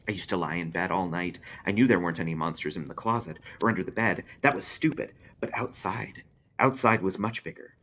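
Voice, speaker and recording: A man's voice: low voice, a little fast, fairly bright tone. A man voicing an uneasy male book club member earnestly confessing a childhood fear in a horror story. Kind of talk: audiobook-style character voice in a horror story.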